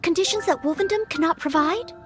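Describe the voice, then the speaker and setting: An actress playing an earnest, studious, polite young maid-knight in a video game, speaking with careful courtesy. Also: female voice, very high voice, a little fast, music in the background. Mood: pondering. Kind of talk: video game character acting.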